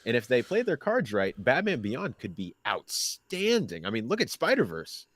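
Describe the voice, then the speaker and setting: A man co-hosting a casual chat, laying out his opinions in a halting, think-aloud way. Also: medium pitch, huge pitch swings, fairly bright tone. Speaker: co-host of a pop culture discussion show.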